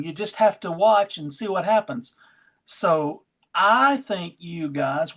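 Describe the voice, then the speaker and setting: Casual American male livestream host, jokey and playfully over the top, video-call setting. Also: high voice. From Alabama, United States.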